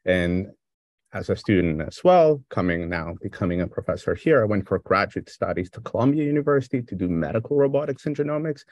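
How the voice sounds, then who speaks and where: A man's voice: lowish voice, a little fast, no room sound. Middle-aged Greek-accented male lecturer, dry close sound, casual and conversational over a video call. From Greece. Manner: relaxed, conversational, with frequent fillers. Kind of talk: remote academic lecture. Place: home or office on a video call. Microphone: video call microphone.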